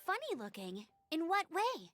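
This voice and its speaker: A woman's voice: very high-pitched, wildly swooping pitch, normal speed, fairly bright tone, soft background music, exaggerated voice. A woman playing an eager, determined young female knight in an English-dubbed anime game, speaking with earnest energy. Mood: curious, questioning.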